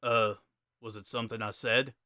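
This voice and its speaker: A man's voice: medium pitch, little pitch movement, normal speed, pushed and bright, dry recording, studio quality, natural voice. A man voicing a laid-back, wry joker with a casual, sardonic drawl in a dramatic story reading. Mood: awkward, subdued. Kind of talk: dramatic reading of fan fiction.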